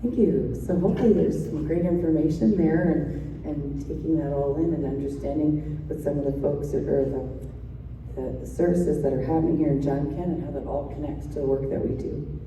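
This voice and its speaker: A woman with a raspy, hoarse voice from a cold, moderating a forum and giving casual instructions. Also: low voice, fairly even intonation, fairly quick, warm tone, slight background noise. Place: conference hall. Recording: echoey hall from far off.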